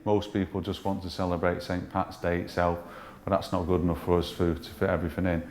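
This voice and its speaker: A man's voice: deep voice, level tone, quick pace, darkish tone. A man in his forties talking about a festival he organises. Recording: echoey room.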